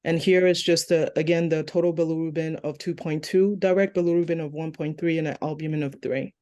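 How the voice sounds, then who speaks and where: An endoscopy fellow presenting a medical case in a steady, clinical delivery. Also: female voice, low-pitched, fairly quick.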